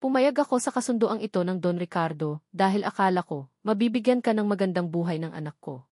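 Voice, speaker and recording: A woman's voice: mid-range voice, lively intonation, a little fast, darkish tone, no room sound, studio quality, lightly acted. A woman voicing a bitter, angry elderly mother who reproaches in defense of her son, in an audio drama. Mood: hurt, pleading anger. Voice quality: hard, trembling voice. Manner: accusing, reproachful.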